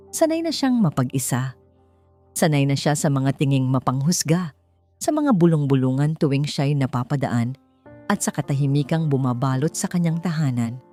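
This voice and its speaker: A woman's voice: low-pitched, dark tone. A woman with a Filipino accent narrating a dramatic scripted story. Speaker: audiobook narrator.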